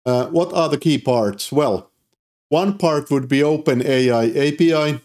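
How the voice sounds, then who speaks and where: A man's voice: on the high side, a little slow. A man in his thirties with a Finnish accent, casually walking through his code in a tutorial, with frequent fillers. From Finland. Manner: relaxed, explanatory, frequent "uh" fillers. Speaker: programming YouTuber. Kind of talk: casual screen-recorded coding tutorial. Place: home office, indoors.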